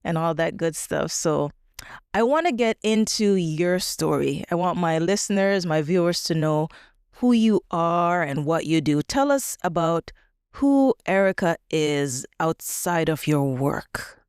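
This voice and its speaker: A woman's voice: lowish voice, lively intonation, measured pace, darkish tone, clear, resonant tone, no echo, clean studio sound. Encouraging woman podcast host. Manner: encouraging, frequent affirming "mm-hmm". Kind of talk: casual interview conversation.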